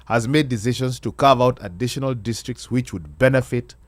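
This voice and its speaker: A man's voice: mid-range voice, fairly quick, no echo. Man co-hosting, dry close sound. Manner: playful interjections, reads comments aloud. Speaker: co-host. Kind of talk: casual panel talk.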